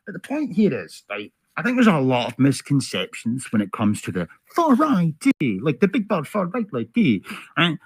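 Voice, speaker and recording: A man's voice: fairly high pitch, dry recording. A Scottish man giving a profane, sarcastic rant in a video commentary, tagging lines with "right?" and "like". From Scotland. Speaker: commentary YouTuber.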